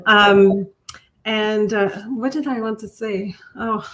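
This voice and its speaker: Lady, talks slow, lots of ums, video call. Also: mid-range voice, steady tone. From Quebec, Canada. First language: French. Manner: slow, hesitant, frequent ums and restarts. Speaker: art teacher and coach. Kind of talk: interview answers.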